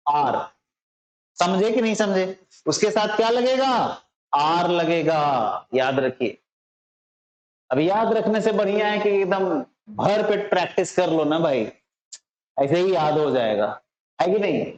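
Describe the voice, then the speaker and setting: A man with an Indian accent teaching English informally, repeating phrases slowly and often checking that learners understand. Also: sits high, lively intonation. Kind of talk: informal spoken lesson. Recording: roomy echo, slightly dull sound.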